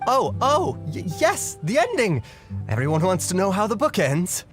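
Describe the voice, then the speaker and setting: Flustered, flirty cartoonish raven, wildly swooping pitch. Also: male voice, huge pitch swings, moderate pace, over music. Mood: eager. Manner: stammering, fawning, overblown courtly speech. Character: flustered, flirtatious male talking raven, pompously formal. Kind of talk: theatrical video game character acting.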